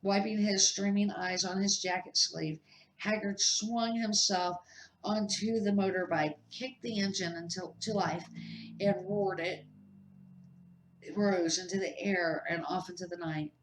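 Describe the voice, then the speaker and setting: An older woman reading a children's storybook aloud as narrator, in a halting, unpolished way. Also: medium pitch, fairly even intonation, a little hiss or hum. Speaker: storybook reader. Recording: echoey amateur room recording.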